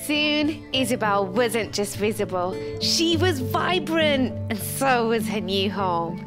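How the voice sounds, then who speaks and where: A young woman, a teacher, reading a picture book to children in expressive, deliberate storytelling narration. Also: a little high. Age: young adult. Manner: measured, deliberate storytelling delivery with pauses. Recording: music playing behind the voice. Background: clear background music.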